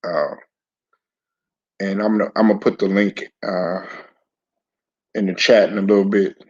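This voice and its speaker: A man hosting a casual live sports talk stream, hoarse and raspy from a sore throat, clearing his throat often and talking in a laid-back, stop-start way with many repeated words. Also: sits low, steady tone, moderate pace, darkish tone. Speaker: sports commentary channel host. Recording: dull lo-fi home recording.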